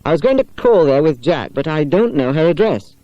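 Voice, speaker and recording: A man voicing an eager, polite schoolboy detective in a children's storybook, keen and bright in manner. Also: on the high side, very animated, fairly quick, dry recording, lo-fi sound, pitched above the performer's own voice.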